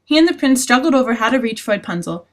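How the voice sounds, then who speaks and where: A woman's voice: on the high side, big pitch swings, fast. A woman reading scripted storybook narration for a fairytale parody.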